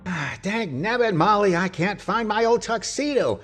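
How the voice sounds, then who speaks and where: An actor playing a grumbling old husband in a 1940s-style radio comedy, folksy and exasperated. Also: male voice, sits high, expressive, normal speed, a bit bright, soft background music.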